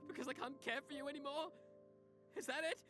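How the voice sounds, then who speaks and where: A woman's voice: high voice, a little fast, voice pushed up, lightly acted. A woman voicing a wounded, pleading dead husband in a melancholy visual novel style. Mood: hurt, pleading. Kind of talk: dramatic visual novel voice acting. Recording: soft voice under loud piano music.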